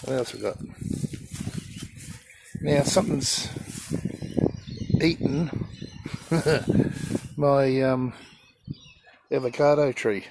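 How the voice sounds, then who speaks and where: A man giving a casual, halting tour of his backyard garden, filmed on a handheld phone outdoors. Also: mid-range voice, vocal fry, very noisy. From Australia. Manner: slow, halting, with frequent ums and uhs. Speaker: gardening channel host.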